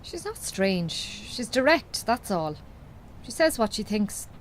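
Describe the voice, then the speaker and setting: A woman's voice: mid-range voice, sing-song, slow pace, slight background noise, poor audio. A woman playing a plain-spoken, supportive Irish farm wife in a radio drama, with an Irish accent and an earnest, colloquial way of talking. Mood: earnest, affectionate.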